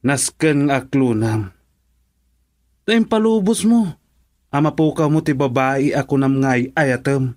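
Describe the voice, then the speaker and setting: A man's voice: medium pitch, expressive, unhurried, long pauses, darkish tone, close to an everyday voice. A man acting in an Ilocano radio drama as a frank friend, speaking in an emphatic, questioning way. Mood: reproachful.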